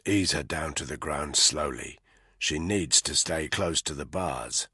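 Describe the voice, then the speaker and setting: A man voicing a hard, commanding male soldier who gives low, clipped orders. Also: moderate pace, a bit bright, husky, no room sound, studio quality. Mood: grim.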